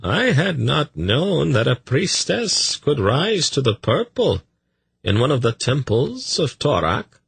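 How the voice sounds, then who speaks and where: A man voicing a smug, self-congratulatory schemer in a fantasy audiobook, with an airy, drawn-out way of talking. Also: mid-range voice, big pitch swings, creaky, fairly bright tone, breathy edge, no room sound, voice pushed up, full character voice. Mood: mildly astonished. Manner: sighing, drawn-out, self-satisfied.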